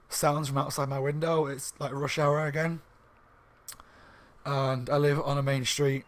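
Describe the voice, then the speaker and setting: A slightly hoarse man reviewing a book in a rambling, casual chat at home, with lots of ums and restarts. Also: mid-range voice. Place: room at home by a window on a main street.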